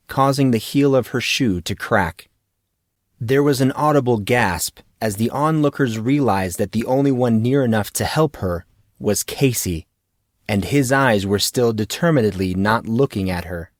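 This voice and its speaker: A man reading a fantasy audiobook in a measured, dramatic storytelling manner. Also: mid-range voice, expressive, dry recording, clean studio sound. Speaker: audiobook narrator. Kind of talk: scripted fantasy story narration.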